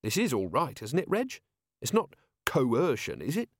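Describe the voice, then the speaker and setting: A man's voice: mid-range voice, huge pitch swings, moderate pace, slightly rough, no room sound, studio quality, voice pushed up, full character voice. A man voicing a polite, earnest and unflappable police captain in an audiobook. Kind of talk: audiobook character voice.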